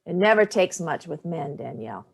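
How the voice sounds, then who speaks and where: A woman's voice: deep voice, big pitch swings, normal speed, creaky, husky, heavily acted. An actress playing Sallie, a dry, cutting older mother fond of cocktails, in a staged play reading. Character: Sallie, sharp-tongued hard-drinking older mother.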